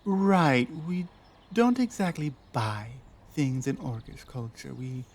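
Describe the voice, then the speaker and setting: Casting: actress as lost orc warrior woman for ASMR roleplay; earnest, wary, unhurried, pausing often. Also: very deep voice, very animated, darkish tone, full character voice. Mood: puzzled. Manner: halting, earnest, deliberate phrasing with pauses. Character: lost, guarded young female orc warrior, earnest and lonely. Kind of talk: ASMR roleplay audio drama.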